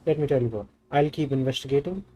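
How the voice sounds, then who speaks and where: Mellow man reading game dialogue. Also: medium pitch, fast talker, faint music behind, rough recording. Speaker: game streamer. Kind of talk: reading game dialogue aloud while playing.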